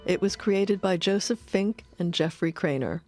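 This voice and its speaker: A woman giving measured, articulate scripted narration as the narrator of a documentary. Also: mid-range voice, darkish tone, faint music behind.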